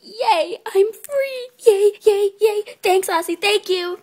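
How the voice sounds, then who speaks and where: A woman's voice: sits high, expressive, moderate pace, slight background noise. A woman voicing an overexcited, silly female horse who gushes and exclaims in a singsong way in an animal story. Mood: gleeful, bubbly. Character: overexcited, silly early-bird female horse, bubbly and apologetic. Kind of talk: animated character acting in an amateur animal story.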